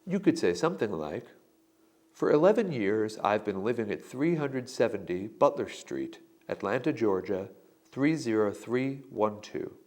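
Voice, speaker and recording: Man, instructor, scripted narration, animated pitch, deliberate delivery. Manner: slow, deliberate model answers. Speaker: instructor giving sample answers.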